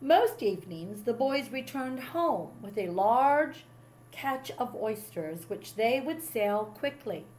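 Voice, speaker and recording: A woman's voice: a little high, animated, slow, a little mellow, poor audio. A woman reading a children's story aloud like a teacher, with dramatic emphasis on key moments. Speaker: teacher reading a picture book to a class. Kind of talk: expressive read-aloud storytelling.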